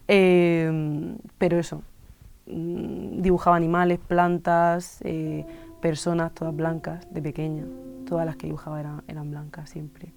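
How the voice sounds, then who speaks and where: A woman in her twenties from Spain, an illustrator and musician, talking thoughtfully in an interview with many pauses and fillers. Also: deep voice, mellow. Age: 20s. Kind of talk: reflective interview monologue.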